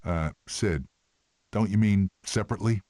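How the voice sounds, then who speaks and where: An actor playing a gruff, sardonic male animal in an animated film, telling stories with dry sarcasm. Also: lowish voice, normal speed, a little hiss or hum, natural voice. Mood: wry storytelling.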